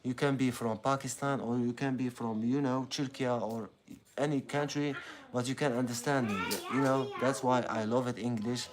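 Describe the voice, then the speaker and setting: Halting Algerian man, crowd chatter behind. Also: medium pitch, fairly even intonation. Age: 30s. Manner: halting, full of fillers and restarts. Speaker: content creator. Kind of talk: casual motivational monologue.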